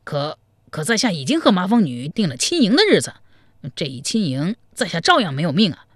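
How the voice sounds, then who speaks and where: Anxious young suitor played by a man, rushed and pleading, very animated pitch. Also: no echo, studio quality, exaggerated voice. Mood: fretful. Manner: quick, fretful pleading. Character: anxious young male suitor, gullible, hot-tempered. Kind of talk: storytelling, acted dialogue.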